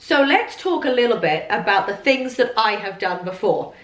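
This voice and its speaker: A woman with a hoarse, husky voice from losing it, hosting a podcast in an upbeat, chatty way and coughing now and then. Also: a little high, animated, moderate pace. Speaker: podcast host. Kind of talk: casual chatty podcast monologue. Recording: roomy echo.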